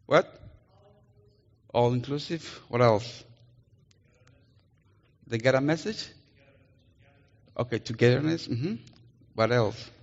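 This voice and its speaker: Colombian man, Spanish native, slow interactive preacher; long hall reverb. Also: medium pitch, vocal fry. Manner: playful, coaxes answers from the congregation. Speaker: missionary preacher. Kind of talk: interactive sermon. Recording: muffled sound in a reverberant hall.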